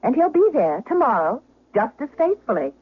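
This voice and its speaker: An actress playing an imperious, pleading aristocratic wife in an old-time radio drama, formal and theatrical. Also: female voice, fairly high pitch, very animated, normal speed, breathy edge, exaggerated voice. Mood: coaxing, pleading. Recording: thin telephone-like vintage radio broadcast.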